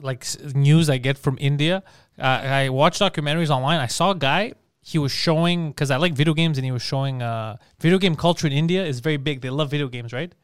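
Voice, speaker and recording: A man's voice: fairly high pitch, a little fast, clean tone. A man co-hosting a podcast, chatting loosely and swearing as he tells a story. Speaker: podcast co-host. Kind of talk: casual profane chat.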